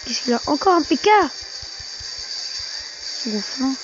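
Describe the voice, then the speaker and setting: A gaming video host giving casual, halting commentary on a mobile game, often repeating and correcting phrases, on a muffled amateur recording. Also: high voice, expressive, background noise.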